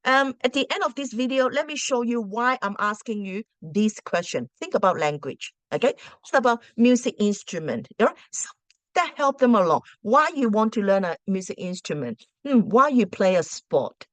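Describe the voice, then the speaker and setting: A woman teacher whose first language is Cantonese talks to parents in an easy, chatty way, stopping to ask rhetorical questions and often restarting her phrases. Her voice sits at an ordinary middle pitch. The recording is dry and close, with almost no room sound. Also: very animated, normal speed, a bit bright, dry recording. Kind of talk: casual advice talk to parents.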